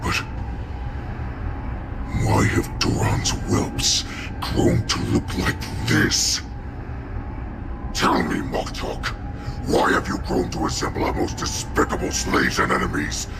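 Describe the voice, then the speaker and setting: An actor playing an ancient, savage beast lord in a video game, growling slowly with menace and contempt. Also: male voice, animated, over loud music, exaggerated voice. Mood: scornful. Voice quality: deep gravelly growl.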